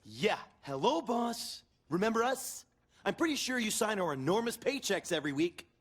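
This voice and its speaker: A man playing a cocky, sarcastic wrestler in a video game, trash-talking in a husky voice. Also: high-pitched, big pitch swings, moderate pace, exaggerated voice. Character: cocky, sarcastic young male wrestler heckling his rivals.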